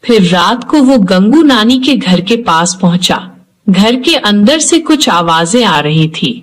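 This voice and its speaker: Lively woman narrating horror stories. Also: mid-range voice, slow pace. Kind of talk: scripted horror story narration.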